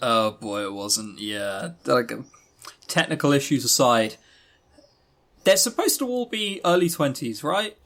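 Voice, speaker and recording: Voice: medium pitch, animated, unhurried, a bit bright. A podcast co-host chatting casually about games in an exasperated, emphatic, sweary way. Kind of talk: casual visual novel review chat.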